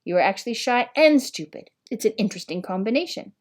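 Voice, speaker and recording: Woman playing an exasperated male professor, slightly raised pitch. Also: animated. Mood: thoughtful, cutting. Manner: measured, demanding.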